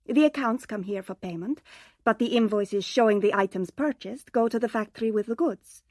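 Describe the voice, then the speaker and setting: An actress playing a sharp, composed young secretary in a radio drama, speaking precisely and in a businesslike way. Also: female voice, mid-range voice, moderate pace, dry recording, studio quality, lightly acted. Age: young adult.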